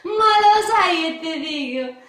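Shrill, brash Roman street girl. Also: lively intonation, takes it slowly, fairly bright tone, exaggerated voice. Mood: ranting, hateful. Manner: brash, slangy, sing-song taunting. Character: foul-mouthed, aggressive young Roman woman from the rough outskirts. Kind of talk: radio theatre acting. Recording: roomy, echoing sound.

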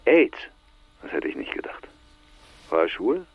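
An actor playing a blunt, shaken middle-aged man probing an old friend in naturalistic radio drama, with a gravelly, husky, expressive voice. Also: deep voice, a little slow, dry recording, dull audio, heavily acted.

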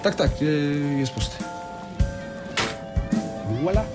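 A man's voice: on the high side, takes it slowly, loud music behind the voice. A man playing an ordinary, obliging funfair shooting-gallery attendant in a naturalistic radio drama, chatty and a little hesitant. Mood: polite, obliging.

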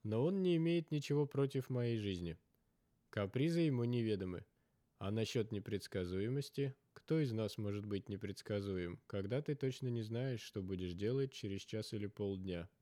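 A man's voice: fairly low pitch, moderate pace, mellow, dry recording, natural voice. A man voicing an inquisitive, persistent male adventurer in a narrated story. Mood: wry.

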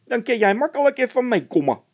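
A man voicing a squeaky, fretful sidekick in a children's audiobook, stubborn and anxious. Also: very animated, fast, exaggerated voice. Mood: insistent.

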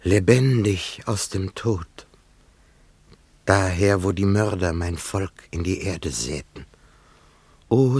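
An actor playing a solemn, haunted scissors grinder who survived a massacre, in a poetic radio play with a halting, incantatory delivery. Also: male voice, fairly low pitch, husky, no room sound, heavily acted. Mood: grave, mournful.

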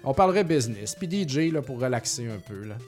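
A man's voice: medium pitch, animated, a little fast, darkish tone, soft background music. A man in his forties with a Québécois French accent, hosting a casual podcast in a talkative, informal, slangy way. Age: 40s. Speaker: podcast host. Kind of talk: casual chat, reading listener questions.

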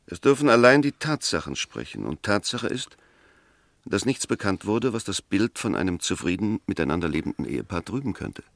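English defence barrister, 1960s radio drama: lowish voice, formal, firm, methodical emphasis, very dry sound. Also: male voice, very animated, fairly quick, gravelly, dry recording, full character voice. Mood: firm, emphatic. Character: Mr. Oliver, firm, reasoning English defence lawyer. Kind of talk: 1960s German radio play, formal debate.

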